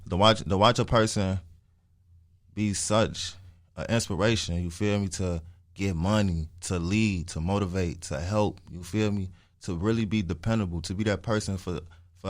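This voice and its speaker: Young guy w really deep voice, dry recording, lots of slang n swearing, repeats stuff for emphasis. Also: fairly even intonation, moderate pace, dry recording. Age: early twenties. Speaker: interview guest, music artist. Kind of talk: casual storytelling interview with heavy slang.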